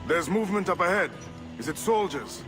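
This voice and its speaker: Sober pirate first mate, wary, formal, raised pitch, video game cutscene, clear music. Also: male voice, expressive, a little fast.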